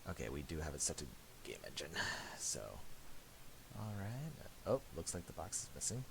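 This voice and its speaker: A man giving a casual, unscripted software tutorial, muttering and thinking aloud in a halting way. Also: extremely low pitch, big pitch swings, gravelly. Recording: hissy home recording with mouse clicks.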